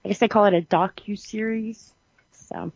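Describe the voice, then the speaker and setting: A woman's voice: mid-range voice, fairly even intonation, moderate pace, creaky, darkish tone. A woman co-hosting a podcast, talking casually in a chatty, informal storytelling way. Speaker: podcast co-host. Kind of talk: casual chat. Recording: dull, lo-fi sound.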